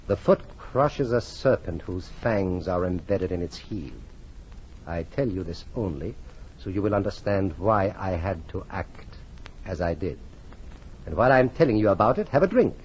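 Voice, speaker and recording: An actor playing a vengeful nobleman with a falsely friendly, smoothly polite manner in 1940s radio drama style. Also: low-pitched, darkish tone, slight background noise. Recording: muffled 1940s radio recording, music behind.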